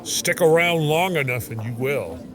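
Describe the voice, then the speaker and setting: A man's voice: on the high side, animated, measured pace, crowd noise. A man playing a brusque medieval innkeeper in an audio drama. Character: brusque medieval French innkeeper, busy but informative.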